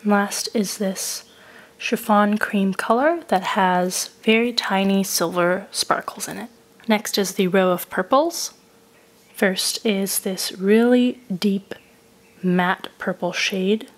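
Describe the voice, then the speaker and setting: A woman's voice: medium pitch, slow. Woman reviewing makeup, fighting a cold. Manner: methodical, descriptive. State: recovering from a cold. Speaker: beauty reviewer. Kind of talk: product review voice-over.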